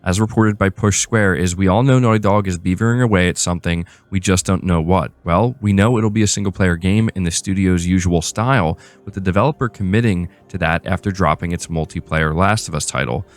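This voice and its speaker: A man's voice: deep voice, brisk, hardly any pauses, dry recording. A man hosting a gaming news show, moving between read-out reports and casual commentary. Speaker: host of a gaming news channel.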